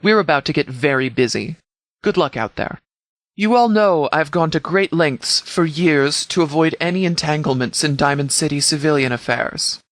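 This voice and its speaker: A man playing a commanding sheriff in a video game, speaking formally and deliberately as he briefs subordinates. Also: fairly high pitch, fairly bright tone, no echo, lightly acted. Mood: stern, resolute. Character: commanding, measured sheriff leading an armed department.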